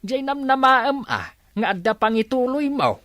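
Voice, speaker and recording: A man's voice: very high voice, very animated, normal speed, pushed and bright, no echo, clean studio sound, exaggerated voice. A man playing an exasperated older village father in a comedy radio drama, nagging emphatically. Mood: scolding, skeptical. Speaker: actor.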